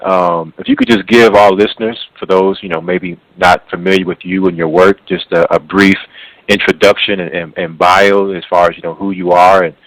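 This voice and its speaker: A man with a very deep voice hosts a radio interview in a conversational style. His delivery is halting, with words often repeated, and the sound is dry with little room echo. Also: steady tone, fairly bright tone. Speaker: radio show host.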